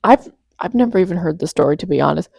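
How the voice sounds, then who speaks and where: A woman's voice: on the low side, animated, quick pace, vocal fry, a little mellow, husky, no echo, clean studio sound. Snarky woman reacting with sarcasm. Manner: snarky, mocking asides. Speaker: reaction commentator.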